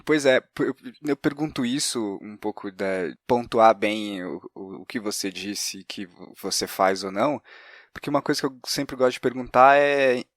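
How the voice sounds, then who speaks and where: A man's voice: mid-range voice, no echo, clean studio sound. A man with a Brazilian accent hosting a podcast and interviewing a guest in a conversational way.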